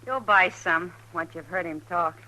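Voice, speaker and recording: A woman's voice: on the low side, a little slow, pushed and bright, poor audio. An actress playing a familiar, teasing, slightly tipsy saloon woman of the frontier in an old radio western. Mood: tipsy, enthusiastic. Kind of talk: 1950s radio western acting. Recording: old radio broadcast, hum and hiss.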